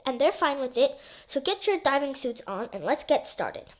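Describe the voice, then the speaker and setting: A bossy, practical storybook girl, acted out by a woman reader. She speaks high and briskly, giving firm orders with lively swings in pitch. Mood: briskly polite.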